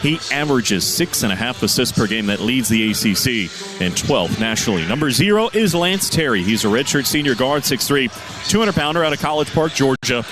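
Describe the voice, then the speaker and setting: A man announces a college basketball broadcast in a quick, steady cadence, running through player names and numbers. His voice sits in the middle of the male range, and music plays clearly underneath. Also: mid-range voice. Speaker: radio play-by-play announcer. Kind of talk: pregame sports radio broadcast. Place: arena broadcast position.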